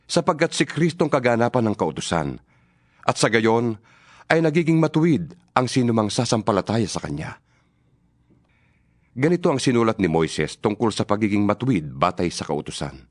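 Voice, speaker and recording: A man's voice: fairly low pitch, big pitch swings, normal speed, no room sound, clean studio sound. A man reading scripture as narrator of a dramatized Bible, in an earnest, rhetorical preaching delivery.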